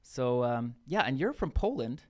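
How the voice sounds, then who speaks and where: A man's voice: mid-range voice, very animated, a little slow, darkish tone. A man co-hosting a casual radio podcast, talking loosely with lots of fillers and restarts. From Brazil. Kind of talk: casual chat.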